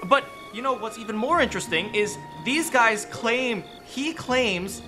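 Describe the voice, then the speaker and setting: A man talks in a loose, rambling way, circling back on himself and tagging lines with questions. His voice sits high and swings widely in pitch. It sounds bright over faint background music. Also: lively intonation, measured pace. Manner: rambling, frequent restarts and "right?" tag questions. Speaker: streamer discussing game lore with chat. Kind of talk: casual rambling chat.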